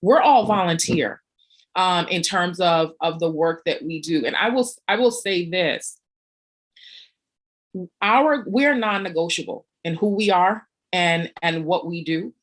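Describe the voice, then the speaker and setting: Low-voiced earnest woman panelist. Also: unhurried. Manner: earnest, reflective, frequent "um" fillers. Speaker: panelist, community organizer. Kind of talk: panel discussion.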